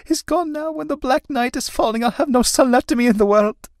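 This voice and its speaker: A woman's voice: mid-range voice, level tone, a little fast, no room sound, clean studio sound, pitched above the performer's own voice, lightly acted. A woman playing a fretful, grieving old Irish mother in a stage play, with a plaintive, lamenting delivery. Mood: anguished lament.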